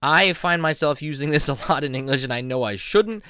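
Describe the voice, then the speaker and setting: A middle-aged man from southeastern Pennsylvania giving a playful, animated casual video lesson, laughing often. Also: a little high, a little fast, smooth, no room sound, clean studio sound. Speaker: YouTube language teacher. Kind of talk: casual vlog-style lesson.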